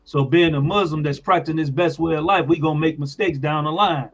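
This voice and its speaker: A man with an American accent talks informally as an interview guest, his voice sitting a little higher than most men's. He explains in a plain-spoken way while faint music plays behind him. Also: brisk, fairly bright tone. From United States.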